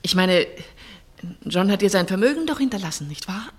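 A woman's voice: mid-range voice, big pitch swings, normal speed, a little mellow, slight background noise, natural voice. An actress playing an older mother, composed but anxious and probing, with formal phrasing in a German radio play. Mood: concerned. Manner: formal, questioning.

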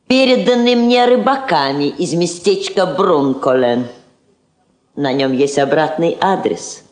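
A woman's voice: deep voice, echoey room, exaggerated voice. An actress playing a firm, sardonic Soviet woman ambassador in a radio drama, speaking formally with dry irony. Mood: cool, firm. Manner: formal, declamatory, drily ironic.

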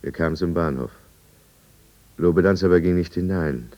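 A man plays a brooding drunkard narrating an old German radio play in the first person. His voice is extremely deep. The delivery is deliberate and confessional. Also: muffled recording, lightly acted. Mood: helpless, bitter. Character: brooding male drunkard recounting his own downfall. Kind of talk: scripted radio drama narration, 1950s.

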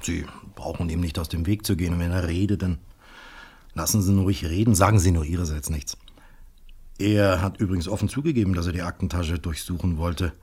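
Deep-voiced, deliberate police official. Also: male voice, mellow, breathy edge. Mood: calm, reassuring. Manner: measured, hesitant, deliberate, with thoughtful murmurs. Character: calm, fatherly, probing male police official. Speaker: actor in a radio crime drama. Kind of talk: 1970s radio play dialogue.

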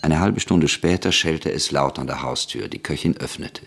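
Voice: rumbling low, normal speed, heavy background noise. A narrator reading a literary radio drama.

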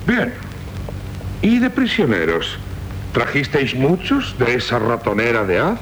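Scornful older castilian count, pompous, very animated, 70s radio play, bit of hiss and rumble. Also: male voice, measured pace. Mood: incredulous. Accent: Castilian Spanish. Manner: pompous, sarcastic, courtly. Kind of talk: 1970s radio drama acting. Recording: noisy 1970s radio recording with rumble.

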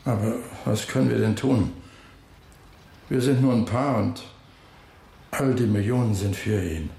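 An actor playing a weary, guarded old working-class father in a radio drama, speaking plainly and heavily with a gravelly voice. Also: on the low side, unhurried, warm tone. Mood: troubled, resigned. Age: older. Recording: roomy, with noisy ambience behind.

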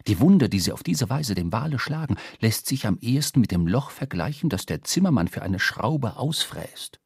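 A man narrating a literary sea tale for a radio drama, measured, with dramatic emphasis. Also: medium pitch, sing-song, gravelly, darkish tone, breathy edge, dry recording, studio quality.

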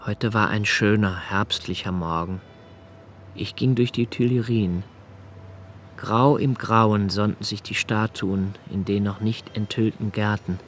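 A man's voice: fairly low pitch, steady tone, slight background noise, lightly acted. A man voicing a brooding young poet. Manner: slow, measured, contemplative. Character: brooding young male poet, 28, solitary and reflective. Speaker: first-person narrator of a radio play. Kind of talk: literary radio drama narration.

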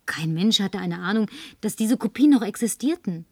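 An actress in a German radio play playing a flirtatious, tipsy, insistent career woman with coquettish, languid phrasing and breathy murmurs. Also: medium pitch, animated, moderate pace, darkish tone, no room sound. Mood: confiding.

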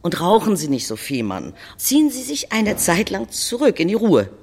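A man playing a brisk, blunt hospital doctor in an audio drama, clinical and patronising in his way of talking. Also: high voice, wildly swooping pitch, gravelly, breathy edge, full character voice. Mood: brisk, matter-of-fact. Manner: clipped clinical jargon, patronising.

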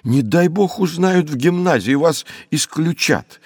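An indignant old-fashioned father from a storybook, as a male narrator acts him. He scolds formally and emphatically, with his pitch pushed upward. The sound is dry. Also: lively intonation, measured pace, breathy edge, dry recording. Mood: indignant scolding.

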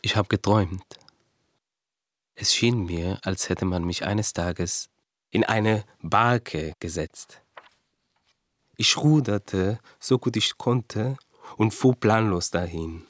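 A man's voice: on the low side, slow pace, long pauses, no echo. A man playing a frightened, self-doubting cancer survivor, speaking an earnest, introspective confessional monologue in a radio play. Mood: haunted recounting. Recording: dry studio with faint music.

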